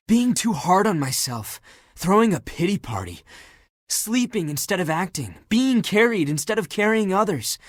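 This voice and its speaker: A living heap of laundry in a dating game, a male flirt with a husky, rough-edged voice. He is theatrical and emotive, all swagger over a sensitive core. The sound is close and dry, with no room around it. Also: a little high, dry recording. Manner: flirtatious, theatrical, emotive, swinging from guarded to grandiose. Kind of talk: dating game character voice acting.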